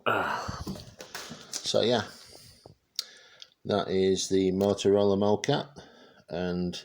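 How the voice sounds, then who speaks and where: A man giving a casual, unscripted product demonstration, speaking haltingly as he searches for words. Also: deep voice, steady tone, a little hiss or hum. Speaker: presenter showing vintage radio gear.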